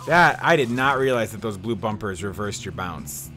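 A man's voice: fairly low pitch, normal speed. Chatty man playing a driving game. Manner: chatty, excitable, thinking aloud. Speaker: gaming YouTuber. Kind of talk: casual let's play commentary. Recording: game music behind.

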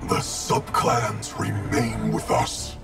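A menacing, commanding warlord, speaks slowly in a gravelly voice. His formal, ruthless game dialogue sits over prominent music. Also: fairly low pitch, very animated, full character voice. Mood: cold, grave. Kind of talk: video game fight intro dialogue.

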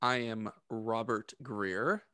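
A man's voice: fairly low pitch, steady tone, slow pace, sharp tone, clear, resonant tone, no echo, studio quality. A man co-hosting a podcast with casual, joking banter. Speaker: podcast co-host. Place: home room, online group call. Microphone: close podcast microphone.

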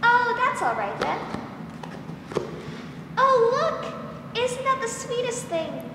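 A young woman as an eager, high-voiced little girl. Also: big pitch swings, slow, heavily acted. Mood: delighted. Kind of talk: 1940s radio drama acting. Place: school theater stage. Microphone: stand microphone, masked performer. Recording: very echoey noisy theater hall, distant.

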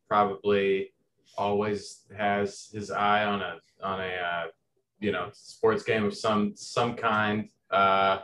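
A man's voice: lowish voice, a bit bright, a little hiss or hum. A young man from Southern Indiana, a composer guest in a casual interview, talking hesitantly with many restarts and fillers.

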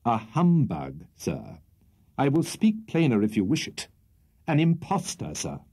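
A man voicing a stern, indignant, dignified elderly gentleman in a storybook, speaking emphatically and distinctly. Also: mid-range voice, huge pitch swings, breathy edge, voice pushed up, exaggerated voice. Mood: indignant, emphatic. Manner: slow, emphatic, distinct delivery.

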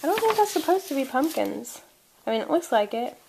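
Bubbly young woman vlogger, high bright voice, talking to a camera held at arm's length, noisy recording. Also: big pitch swings, a little fast, gravelly. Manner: chatty, giggly, rambling. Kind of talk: casual vlog chat. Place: car, then home kitchen. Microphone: handheld camera at arm's length. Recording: noisy handheld camera audio.

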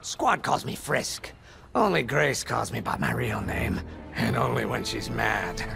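A sly reptile alien pilot for a game, super gravelly, folksy hustler, music behind him. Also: male voice, medium pitch, lively intonation, unhurried. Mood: sly, boastful. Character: roguish reptilian alien pilot, smooth-talking ex-thief. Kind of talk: video game character dialogue.

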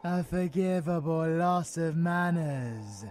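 A man's voice: a little high, expressive, slow pace, darkish tone, a little hiss or hum. A British man playing a sadistic, taunting monster supervillain in an audio roleplay, savouring words in a sing-song manner. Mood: gleeful mocking. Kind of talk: audio roleplay villain acting.

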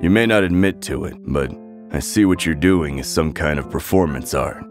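A man voicing a courteous, analytical, skeptical young neighbor in an amateur manga dub, speaking deliberately and articulately. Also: rumbling low, faint music behind.

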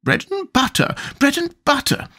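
Dotty old storybook queen, helpless and plaintive, high and very changeable in pitch, played by a man. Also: huge pitch swings, moderate pace, a bit bright, exaggerated voice. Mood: anxious muttering. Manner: plaintive, wavering, melancholy. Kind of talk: storybook character voice.

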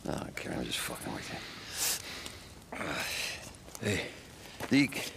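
An actor with an American accent playing a stubborn, ailing biker in a video game, gruff, slurred and gravelly. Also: male voice, sits low, wildly swooping pitch, slow, noisy background, exaggerated voice. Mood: groggy, wry. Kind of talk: naturalistic video game acting.

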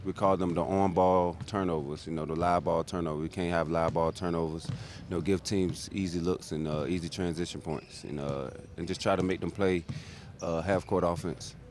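A young man, a pro basketball player, answering reporters in a halting, laid-back way with many fillers, in an empty arena. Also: low-pitched, fairly even intonation, darkish tone, slight background noise. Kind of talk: media scrum answers. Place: empty arena seats, indoors. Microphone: handheld reporter mics held close.

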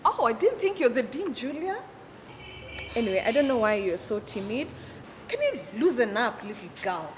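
A woman's voice: fairly high pitch, sing-song, moderate pace, in a large hall, a little hiss or hum. A woman playing a snappish, sneering younger sister in a drama, sarcastic and dismissive.

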